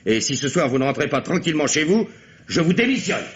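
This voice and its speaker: An actor playing a curt, authoritative, impatient police commissioner in a radio mystery play. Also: male voice, a little high, very animated, quick pace. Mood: angry, commanding. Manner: curt, commanding. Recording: dull, noisy old radio drama recording.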